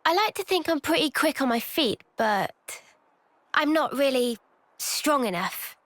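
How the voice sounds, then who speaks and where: Young british soldier girl from a game, high voice, very lively, direct and earnest, dry sound. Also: measured pace, dry recording, heavily acted. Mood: tentative, earnest. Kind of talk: video game cutscene voice acting.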